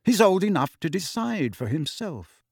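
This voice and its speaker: Storybook peacemaker, male narrator: calm authority, exact phrasing, dry close recording. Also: sits high, normal speed, creaky, husky, no room sound, pitched above the performer's own voice, exaggerated voice. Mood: reasonable. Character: calm, reasonable adult man, firm peacemaker.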